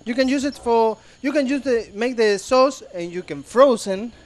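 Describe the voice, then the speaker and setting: A man's voice: very high voice, expressive, moderate pace. A man from Chile with a strong Chilean Spanish accent, a ship's chef joking with the audience in broken English during a live cooking demonstration. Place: ship's show kitchen before an audience.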